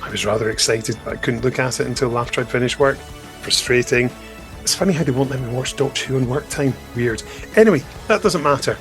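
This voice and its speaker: A man's voice: mid-range voice, quick pace, faint music behind. A man hosting a podcast, talking in an upbeat, jokey, casual way. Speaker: podcast host.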